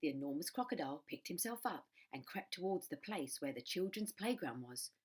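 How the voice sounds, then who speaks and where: Low, husky-voiced woman reading a children's story. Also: animated, brisk. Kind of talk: expressive storybook narration for children.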